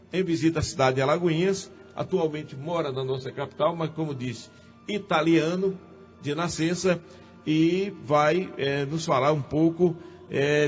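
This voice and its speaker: A man hosting a local radio show, introducing his guest with talkative, filler-laden phrasing. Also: a little high. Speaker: radio host. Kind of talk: radio interview. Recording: muffled radio with faint music.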